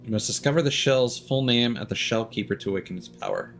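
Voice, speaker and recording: A man's voice: medium pitch, fast talker, a bit bright, soft background music. A young man streaming a game, casually reading menu items aloud to himself.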